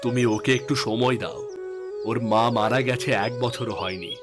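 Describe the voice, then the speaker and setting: A man playing a middle-aged father and husband in a cartoon drama, with a measured, earnest, admonishing manner. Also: medium pitch, music in the background, natural voice. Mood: consoling. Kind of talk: melodramatic cartoon story acting.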